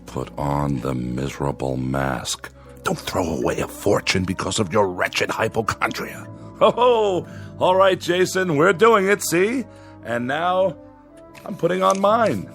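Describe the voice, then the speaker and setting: Gravelly, pompous, fawning family man. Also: medium pitch, very animated, measured pace, faint music behind. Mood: impatient, forced cheer. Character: obsequious, greedy middle-aged father, curt with his family. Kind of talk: radio drama acting.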